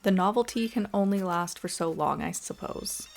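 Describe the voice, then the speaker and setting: A woman giving casual, chatty and expressive voice-over commentary as she plays a video game. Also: lowish voice, normal speed, gravelly. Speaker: gaming video creator. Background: background music.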